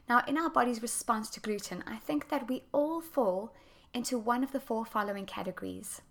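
A woman hosting her own podcast, a health coach explaining her topic in an earnest, explanatory way, her voice slightly croaky as she gets over a chest infection. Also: mid-range voice. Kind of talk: solo podcast talk.

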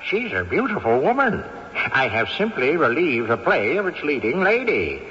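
A cantankerous old ghost. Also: male voice, fairly high pitch, sing-song, normal speed, sharp tone. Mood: smug. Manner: haughty, scolding, sly chuckles. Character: cantankerous old male ghost, great-grandfather, possessive and scheming. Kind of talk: 1970s radio drama acting. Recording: dull old radio broadcast with reverb.

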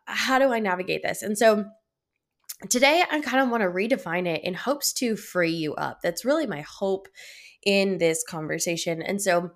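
A woman's voice: mid-range voice, expressive, normal speed. A young adult woman hosting her own solo podcast, speaking with a head cold, reflective and encouraging, with fillers and restarts. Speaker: podcast host.

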